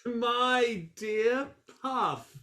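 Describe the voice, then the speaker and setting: A man playing an excitable, self-satisfied gentleman critic in a period stage comedy, exclamatory and blustering. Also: very high voice, extremely slow, exaggerated voice. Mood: genial. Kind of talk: theatrical period comedy play reading.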